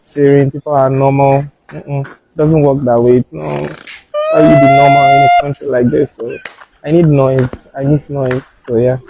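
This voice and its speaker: A man's voice: mid-range voice, mellow, slight background noise, low-quality recording. A man answering a street question with wry humour. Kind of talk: casual interview answer.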